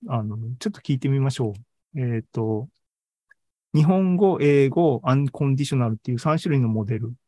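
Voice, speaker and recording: A man giving a casual live presentation as host, halting and thinking aloud as he goes. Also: medium pitch, mellow, dry recording. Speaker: podcast host and tech presenter. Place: online video meeting talk, dry close sound.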